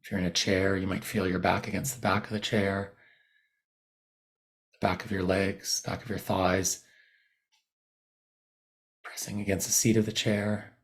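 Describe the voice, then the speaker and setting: A man guiding a body scan meditation in a soothing, unhurried manner, leaving long pauses between short phrases. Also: low voice, little pitch movement. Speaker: meditation guide. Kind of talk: guided body scan meditation, unscripted instruction.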